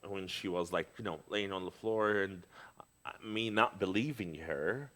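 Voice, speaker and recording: A man's voice: low-pitched, moderate pace, fairly bright tone. A middle-aged man from Lebanon, talking in a casual conversation as a guest, hesitant, with many fillers and restarts.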